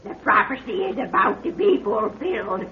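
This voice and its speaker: An actress playing an ancient, cackling prophetess who speaks in drawn-out archaic riddles in an old radio adventure serial. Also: female voice, mid-range voice, big pitch swings, natural voice. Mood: cackling, exultant. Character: ancient cackling prophetess of a hidden underground world. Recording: noisy, muffled old radio recording.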